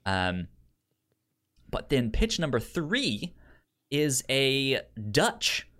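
A man with a pronounced stutter, speaking haltingly and casually as a podcast co-host pitching shows. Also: wildly swooping pitch, fairly bright tone. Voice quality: pronounced stutter with repeated sounds and syllables.

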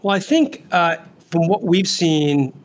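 A man hosting a casual interview, informal and halting as he rephrases his questions. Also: fairly high pitch, lively intonation, a little hiss or hum. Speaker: interviewer, podcast host.

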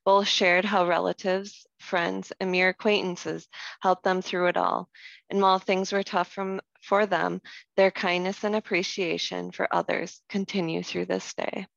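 A woman co-hosting a podcast speaks with admiration. Her voice is low and gravelly. The recording is dry and slightly dull. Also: level tone, a little slow, a bit bright, no echo. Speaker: podcast co-host. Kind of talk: scripted reflection.

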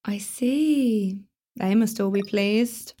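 A woman's voice: mid-range voice, takes it slowly, warm tone, faint music behind. A young woman acting a brash, sarcastic teenage girl in a radio play, teasing and showing off. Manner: sarcastic, teasing, attention-seeking.

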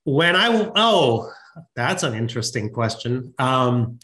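A man with a Malaysian accent speaks at a middle pitch as a guest in a conversational interview. He explains and reminisces in a halting way, circling back to restart phrases as he goes. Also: big pitch swings, sharp tone. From Penang, Malaysia. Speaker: podcast guest, research microscopy center director.

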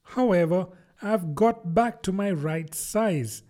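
A man's voice: sits high, slow pace, warm tone, pitched above the performer's own voice. A man voicing a polite, earnest little girl who talks in a doubtful, truthful way, in an audiobook. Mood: musing. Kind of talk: audiobook character voice.

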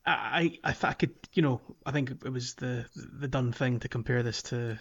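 A man's voice: mid-range voice, normal speed, vocal fry, fairly bright tone, husky, muffled recording. A man chatting casually as a panelist on a gaming podcast.

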